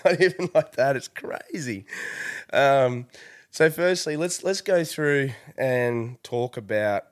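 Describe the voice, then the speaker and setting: A man's voice: medium pitch, expressive, slow. A man hosting a podcast in casual chat, congested from a cold, friendly and rambling with fillers. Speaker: podcast host.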